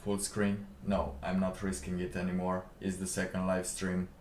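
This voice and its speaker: A man live streaming, chatting casually in a halting, rambling way with lots of fillers. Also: sits low, completely flat delivery, darkish tone, slight background noise. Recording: roomy, distant mic with faint ambience.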